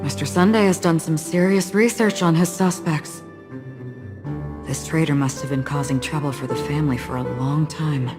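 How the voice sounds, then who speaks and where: Woman acting low, pensive game wanderer. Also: fairly even intonation, moderate pace, over music, lightly acted. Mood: pensive, analytical. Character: reserved, watchful, enigmatic female wandering swordswoman.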